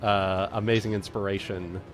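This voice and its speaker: A man's voice: lowish voice, level tone, moderate pace. A man taking part in a tabletop game as a player, talking casually about the rules and play. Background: background music.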